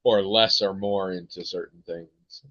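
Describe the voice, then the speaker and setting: Casting note: American male podcast host, lowish voice, meandering and full of ums, dull dry lo-fi sound. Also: steady tone, measured pace, a bit bright, dry recording. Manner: rambling, hesitant, many ums and restarts. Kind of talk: casual chat.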